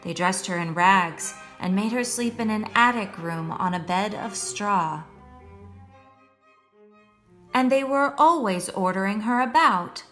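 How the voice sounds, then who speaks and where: A woman reading a children's story aloud as a storyteller, in a measured, expressive way meant for young listeners. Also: medium pitch. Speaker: storyteller, first-grade teacher. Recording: music behind the voice.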